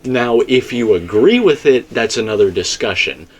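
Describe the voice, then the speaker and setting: A man's voice: medium pitch, animated, moderate pace. A man co-hosting a casual, unscripted discussion, talking in a rambling, conversational way full of qualifications. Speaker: co-host of a video game theory discussion.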